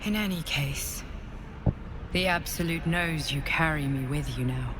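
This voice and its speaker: An actress playing a weary but gentle female guardian from dreams in a video game, speaking in a measured, earnest way. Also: low-pitched, noisy background. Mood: grave. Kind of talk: video game cutscene acting.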